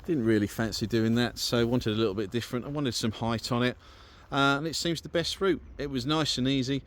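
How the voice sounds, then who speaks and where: A man's voice: mid-range voice, fairly quick. A British man giving a casual, chatty, easygoing walk-through as a vehicle owner, talking informally to a handheld camera. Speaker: vehicle owner presenting an installation. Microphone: handheld camera or phone.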